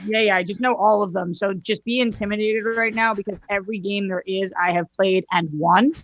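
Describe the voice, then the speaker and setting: A woman's voice: mid-range voice, fairly even intonation, a little fast, no room sound, muffled recording. A woman co-hosting a podcast, chatting casually in a joking manner. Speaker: podcast co-host. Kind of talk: casual chat.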